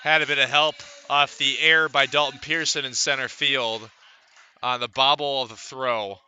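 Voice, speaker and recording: A man's voice: medium pitch, fairly even intonation, moderate pace, pushed and bright, soft background music. A man calling live baseball play-by-play for radio, mixing clipped stat readouts with fuller descriptions of the action. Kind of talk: live radio sports commentary.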